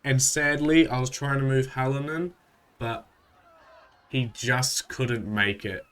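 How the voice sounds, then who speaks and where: A man with a stuffy voice from a cold, hosting a casual, relaxed recap of a strategy game with frequent fillers. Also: medium pitch, slow pace, smooth. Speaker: gaming channel host.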